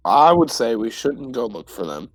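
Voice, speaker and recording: A man's voice: medium pitch, very animated, moderate pace, no echo. A man playing a tabletop game, casually describing what his character does and chatting with the group.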